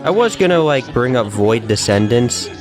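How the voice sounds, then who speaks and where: A man's voice: medium pitch, very animated, moderate pace. A man giving casual opinion commentary in an earnest, emphatic way, often repeating points for stress. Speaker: video commentator. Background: background music.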